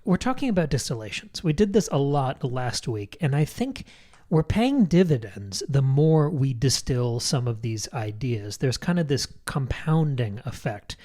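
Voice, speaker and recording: A man's voice: medium pitch, sing-song, unhurried, mellow. A man co-hosting a book club podcast, analysing a story in an articulate, thoughtful, conversational way.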